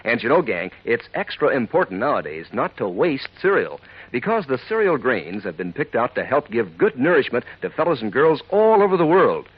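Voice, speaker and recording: An American man announcing a 1940s radio commercial, pitching chummily and emphatically to children. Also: big pitch swings, normal speed, slightly rough. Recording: muffled old radio recording, music.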